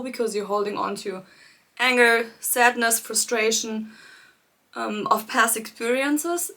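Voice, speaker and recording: A woman with a slightly high voice guides her viewers through a live coaching session. She talks gently and reflectively, speaking straight to her audience and laughing softly between her points. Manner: addresses viewers directly, greets them by name. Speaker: life coach hosting a live challenge. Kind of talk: live-streamed coaching talk.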